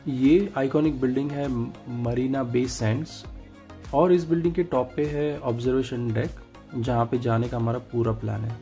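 A man narrating his travel vlog in a calm tour-guide manner, mixing in English phrases. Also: mid-range voice, creaky. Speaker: travel vlogger. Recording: voice over loud music.

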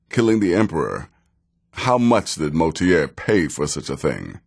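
An actor playing a cynical, seasoned male assassin in a video game, with a dry, deadpan and deliberate manner. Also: sits low, exaggerated voice. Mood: cool, instructive. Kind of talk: video game character dialogue.

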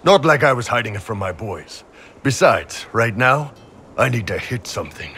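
A man voicing a big, gruff horned mercenary captain in a video game, blunt and casual in his talk. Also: mid-range voice, big pitch swings, moderate pace, sharp tone, a little hiss or hum. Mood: blunt, assured. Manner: blunt, casual, plain-spoken. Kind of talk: video game character acting.

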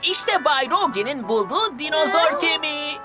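A woman voicing a proud, excitable young boy bus in a children's cartoon dub. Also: high voice, normal speed, over loud music.

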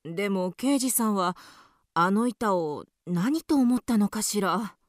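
A woman's voice: mid-range voice, lively intonation, takes it slowly, no echo, studio quality. Anxious, ladylike wife. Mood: pensive. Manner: ladylike, fretful. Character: anxious, genteel wife and mother. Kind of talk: radio drama acting.

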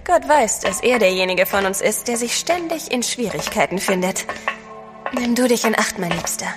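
A spirited young-hearted wife acted by a woman in a radio play, wry and loving. Her voice is a little high. It carries long reverb, with music clearly behind it. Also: lively intonation, moderate pace, lightly acted. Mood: wryly tender. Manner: wry, affectionate. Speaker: actress. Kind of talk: German audio drama acting.

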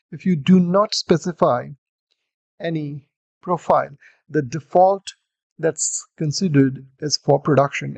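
A man's voice: a little high, gravelly, no room sound, muffled recording. A man giving a screen-recorded coding tutorial as the instructor, explaining each step steadily with the odd "uh". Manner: steady explanatory walkthrough with fillers.

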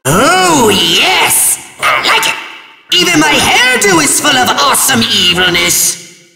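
A man voicing a pompous, tantrum-prone little evil overlord in a video game, shrill and gravelly, ranting theatrically. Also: huge pitch swings, slow pace, echoey room, big-room sound, over loud music, heavily acted. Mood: delighted. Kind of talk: cartoonish video game villain acting.

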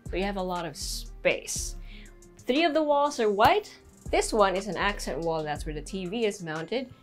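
A woman's voice: medium pitch, animated, normal speed, faint music behind. Chatty woman giving a house tour. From Philippines. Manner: upbeat, chatty, laughs easily. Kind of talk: walking real-estate tour narration. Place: large house interior, rooms and balconies.

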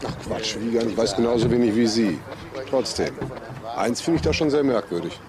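An actor playing a sharp, sceptical police detective in charge, questioning and matter-of-fact, in a German crime radio drama. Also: male voice, medium pitch, a little fast, crowd noise, close to an everyday voice. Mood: dry, probing. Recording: busy outdoor street background.

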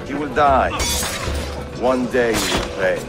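An actor playing an earnest, hot-headed young assassin, a loyal son, in a game. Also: male voice, mid-range voice, slow, loud music behind the voice, close to an everyday voice. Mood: angry resolve. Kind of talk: dramatic game acting.

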